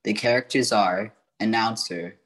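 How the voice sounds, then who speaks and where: Older man, lower-pitched voice, radio play announcer, scripted narration, reading stage directions. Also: unhurried, a bit bright.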